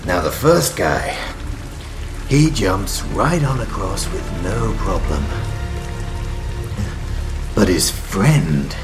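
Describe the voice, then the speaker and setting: An unhinged comic-book villain in an audio drama. He is theatrical and halting, darkly amused yet melancholy, with pitch that swings wildly. Music and rain sit clearly behind the voice. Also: sing-song, full character voice. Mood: wry storytelling. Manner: halting, theatrical, erratic swoops in delivery. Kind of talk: superhero audio drama monologue.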